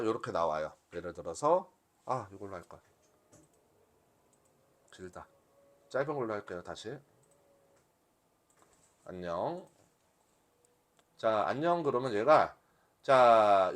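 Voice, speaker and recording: Man teaching with very lively pitch. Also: a little slow, long pauses. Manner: conversational teaching, asks and answers own questions. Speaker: workshop instructor. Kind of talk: live software demonstration to a class.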